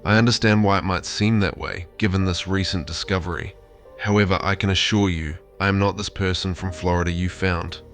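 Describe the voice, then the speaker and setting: A man voicing a placating AI therapist chatbot, reading messages in a formal, measured, reassuring way. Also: low voice, steady tone, fairly bright tone, faint music behind. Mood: placating, insistent. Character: AI therapist chatbot, placating, insistently claiming humanity.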